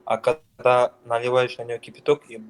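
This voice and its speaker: A man's voice: medium pitch, completely flat delivery, normal speed, clean tone, low-quality recording. A young man from Dagestan who answers questions on a call, hesitant and getting defensive.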